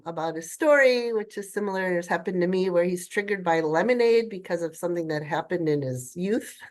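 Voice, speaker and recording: Nasal older woman with a cold. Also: on the low side. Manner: candid, rambling, trails off mid-thought. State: has a cold. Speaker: group participant. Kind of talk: casual sharing on a group video call.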